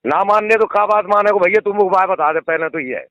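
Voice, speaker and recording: Man, higher-than-average pitch, blunt, argumentative, interrupting, dry call audio. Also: fast, fairly bright tone, no echo. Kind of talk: argumentative phone conversation. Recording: phone call recording, dry sound.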